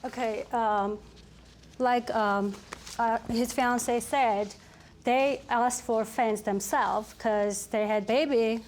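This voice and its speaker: Lady in tv court, higher voice, halting but protesting, bit of room noise behind. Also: steady tone, a little mellow. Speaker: defendant in TV court, landlord. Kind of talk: courtroom testimony. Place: TV courtroom studio.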